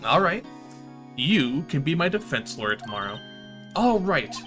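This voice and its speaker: A man voicing an earnest, spirited young woman from a game, excitable and eager. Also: a little high, unhurried, soft background music, pitched above the performer's own voice. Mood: determined.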